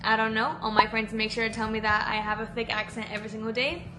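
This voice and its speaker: A woman's voice: on the high side, level tone, fast talker, noisy background. A woman in her twenties from Miami with a Miami accent, chatting casually and gushing to camera in a Q&A vlog from her room. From Miami, USA. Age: 20s. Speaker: vlogger. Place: bedroom with Disney ears on the wall.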